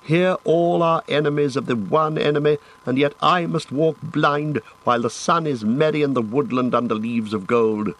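A man's voice: a little high, moderate pace, few pauses, fairly bright tone, voice pushed up, rough recording. A man reading an audiobook, voicing a proud male elf archer in a lofty, indignant manner.

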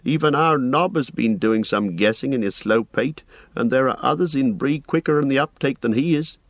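A man's voice: medium pitch, normal speed, no room sound, pitched above the performer's own voice, close to an everyday voice. A man voicing a fussy, kindly, rustic innkeeper who chatters and exclaims in an audiobook. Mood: anxious, earnest. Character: fussy, flustered, kindly fat male innkeeper. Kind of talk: audiobook character voice.

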